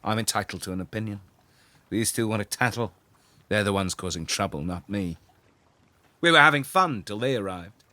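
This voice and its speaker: A man's voice: on the low side, animated, normal speed, long pauses, sharp tone. An actor playing a resentful, scheming pirate crewman in a musical audio drama, persuasive, sarcastic and given to breaking into song. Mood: defensive, resentful. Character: discontented scheming pirate crewman, sly, resentful, misogynist agitator.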